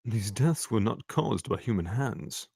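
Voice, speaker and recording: A wry London doctor with morbid relish played by a man in a table-read drama. The voice is husky and creaky, the manner theatrical and mock-polite. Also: on the low side, lively intonation, a little slow, mellow, full character voice. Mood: clinical, intrigued.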